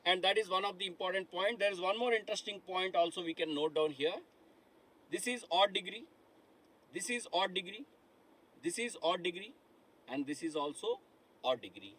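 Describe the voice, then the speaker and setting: Bright-voiced math teacher. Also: male voice, high voice, moderate pace, pauses often, poor audio. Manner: repeats phrases, asks the class questions, calls students "my dear friends". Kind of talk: live online lecture. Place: studio in front of a dark digital board.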